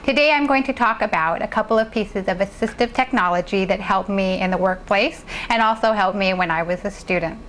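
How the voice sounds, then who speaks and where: Woman professor explaining clearly. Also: mid-range voice, a bit bright, slight background noise. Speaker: assistant professor. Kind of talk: instructional demonstration. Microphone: worn lapel microphone.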